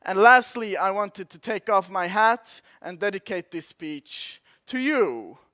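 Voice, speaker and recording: Young Swedish-accented male keynote speaker, fervent and rhetorical, high clear voice. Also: animated, a little slow, pushed and bright. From Sweden. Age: 20s. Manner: impassioned, rhetorical repetition. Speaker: conference speaker. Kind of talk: motivational keynote speech. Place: conference hall.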